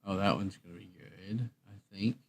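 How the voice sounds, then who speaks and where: A man's voice: low voice, fairly even intonation, moderate pace, vocal fry, slightly rough. A man hosting a casual livestream, rambling and thinking aloud as he works through what to play next. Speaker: livestream host.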